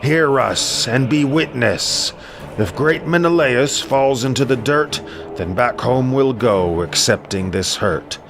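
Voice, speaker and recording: A man playing a solemn ancient king, declaiming prayers and proclamations in measured verse for an audio drama. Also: medium pitch, over music, pitched above the performer's own voice. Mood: solemn invocation.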